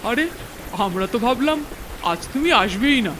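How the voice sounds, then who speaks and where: A man voicing an eager, timid young tea-stall regular in a cartoon, hesitant and excitable. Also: very high-pitched, moderate pace. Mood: eager, sheepish. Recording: noisy rain ambience behind the voice.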